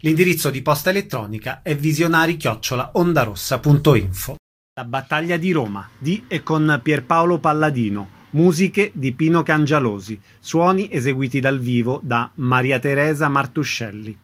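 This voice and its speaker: A man's voice: on the high side, lively intonation, moderate pace. A man reading a scripted radio programme announcement.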